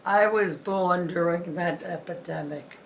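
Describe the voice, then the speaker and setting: Woman in her 90s, gravelly throat, low voice, rambly old family stories w lots of uh's, a little background hiss. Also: echoey room. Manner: rambling, halting with many uh's. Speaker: birthday celebrant. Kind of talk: casual family storytelling.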